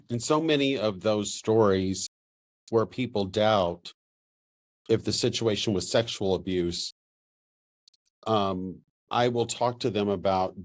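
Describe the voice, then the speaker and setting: Lowish-voiced man explaining informally. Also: darkish tone.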